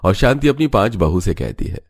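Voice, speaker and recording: A man's voice: fairly low pitch, very animated, brisk, gravelly. A man reading scripted storybook narration for a cartoon.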